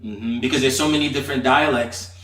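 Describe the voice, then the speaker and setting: A man's voice: medium pitch, fairly even intonation, brisk, a bit bright. A man from Nigeria, a native Igbo speaker, telling his personal story casually and emphatically as a talkative podcast guest. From Nigeria, moved to the US aged eight. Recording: roomy echo, distant from mic.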